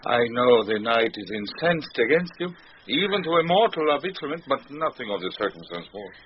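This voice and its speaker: An actor playing a mischievous gentleman conspirator in radio Shakespeare, theatrical and mock-grave as he exaggerates. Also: medium pitch, very animated, brisk. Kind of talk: radio Shakespeare comedy acting. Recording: muffled, noisy radio drama recording.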